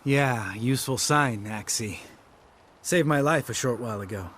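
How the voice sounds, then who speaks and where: An actor playing a younger monster hunter in a fantasy video game, cynical and bitter, with a blunt, sardonic manner. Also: male voice, medium pitch, normal speed, a bit bright, slight background noise, lightly acted. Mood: wry, callous.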